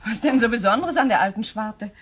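An actress playing a puzzled, uneasy stepdaughter in a crime radio play. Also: female voice, on the high side, lively intonation, quick pace, distant mic, background noise, natural voice. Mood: curious.

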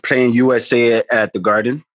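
A man, a guest telling stories in a relaxed, rambling way on a video call from his phone at home. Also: medium pitch, normal speed, no room sound. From the Bronx, New York. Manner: laid-back, rambling, many "you know what I mean" tags. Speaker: guest, pro basketball player. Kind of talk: casual storytelling chat. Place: room at home, indoors. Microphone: phone camera held close on a video call.

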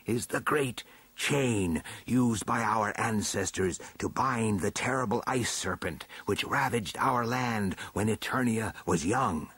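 A man voicing an aged, stern and suspicious snow dwarf chief elder in a storybook tale, declaiming slowly and imperiously. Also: lowish voice, fairly bright tone, breathy edge, no room sound, full character voice. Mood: solemn decree. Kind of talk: storybook character acting.

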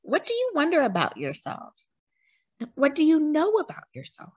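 A woman with a husky voice reading a children's picture book aloud expressively, putting questions to young listeners. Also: high voice, huge pitch swings, normal speed, darkish tone, no room sound. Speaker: read-aloud storyteller for children.

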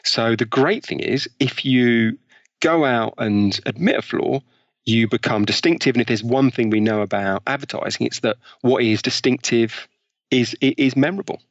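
A man's voice: medium pitch, moderate pace, dry recording. A British man speaking as an interview guest, explaining ideas conversationally with examples. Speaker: interview guest, author on behavioral science. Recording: slightly dull, lo-fi sound.

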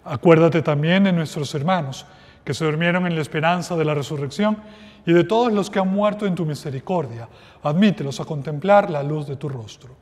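A middle-aged priest recites the prayers of Mass slowly and solemnly. His voice sits a bit high for a man. It rings out with the long reverb of a small chapel. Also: darkish tone. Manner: slow, solemn liturgical recitation.

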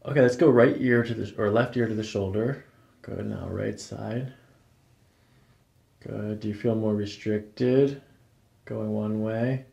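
A very gravelly American man with a dark, relaxed voice talks through a chiropractic exam. He explains calmly and puts short, clipped questions to the patient. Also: fairly low pitch, unhurried, pauses often, highs cut off. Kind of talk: hands-on clinical exam narration, ASMR. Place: small carpeted clinic office, indoors.

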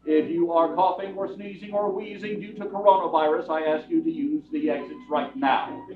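Male theatre host, middle-aged, fairly high voice, live introduction, tinny and echoey, music behind. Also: moderate pace, far from the mic. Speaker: show host. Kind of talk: live stage introduction to an audience. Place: theatre stage, before an audience. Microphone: distant camera.

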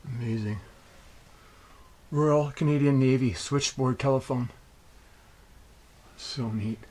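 A man's voice: medium pitch, slow pace, a little mellow. Enthusiastic man exploring an abandoned ship. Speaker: urban explorer vlogger. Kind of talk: casual on-location vlog. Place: outdoors on a ship deck, then inside an abandoned ship. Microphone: handheld camera mic, selfie framing. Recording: outdoor ambient noise on camera mic.

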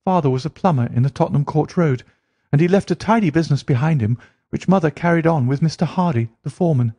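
A man's voice: mellow, no echo. A man voicing an earnest, flustered young woman pouring out her troubles in a storybook reading. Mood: indignant. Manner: breathless, rushing, rising high pitch.

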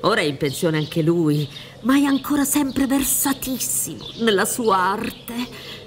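A woman's voice: fairly high pitch, animated, unhurried, a little hiss or hum. An older woman acting in a radio drama as a worldly, theatrical defence lawyer, emphatic and admonishing. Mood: proud. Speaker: actor. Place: theatre stage set up for radio play. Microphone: suspended studio microphone with headphones.

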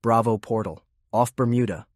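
A man's voice: mid-range voice, moderate pace, dry recording, studio quality. A man reading scripted narration as an audiobook narrator.

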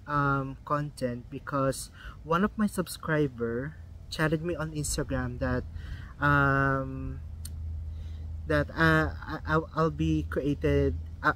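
Halting Filipino-accented man, light voice. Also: a little high, a bit bright, slight background noise, poor audio. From Philippines. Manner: halting, many ums and restarts. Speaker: vlogger. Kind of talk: casual vlog talk.

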